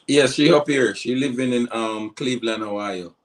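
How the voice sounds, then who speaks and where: A man's voice: mid-range voice, moderate pace. A man with a Jamaican accent, co-hosting a vlog and chatting casually. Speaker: vlog host. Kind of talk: casual chat.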